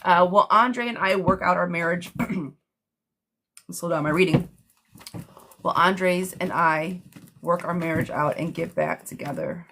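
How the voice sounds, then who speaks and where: A woman with a hoarse, smoky voice, doing a chatty live tarot reading while sick with a cough. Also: lowish voice, sing-song, slow pace, long pauses, noisy background. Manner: chatty, reassuring, calls listeners girlfriend. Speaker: tarot reader.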